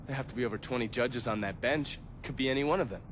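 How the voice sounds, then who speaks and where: A shrewd, sardonic operative from a video game, played by a man, dry and matter-of-fact. The mid-pitched voice is bright and forceful, with faint noise behind it. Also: quick pace, natural voice. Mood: wry, intrigued. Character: sardonic, shrewd male intelligence operative and accomplice. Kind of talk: cinematic game dialogue, part recounted testimony.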